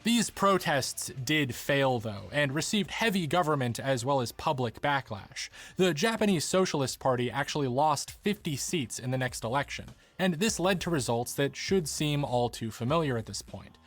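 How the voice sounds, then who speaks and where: A man reading scripted narration in a measured, formal way, like a documentary essay. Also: mid-range voice, animated, fairly bright tone, dry recording. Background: background music.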